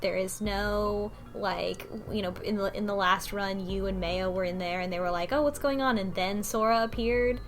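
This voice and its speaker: A woman's voice: mid-range voice, steady tone, moderate pace, hardly any pauses. Woman chatting casually over music. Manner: informal, conversational. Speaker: book club podcast panelist. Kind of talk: casual chat recapping a game's story. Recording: music playing behind the talk.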